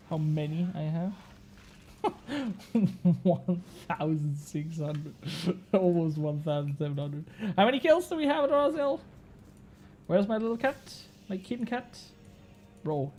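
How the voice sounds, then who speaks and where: Young swiss guy streaming, swiss german native, bit high voice, rambly and self-mocking, swears and laughs a lot. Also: sing-song, a little slow, pauses often, darkish tone, slightly rough. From Switzerland. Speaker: game streamer. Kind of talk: casual live-stream chat while gaming.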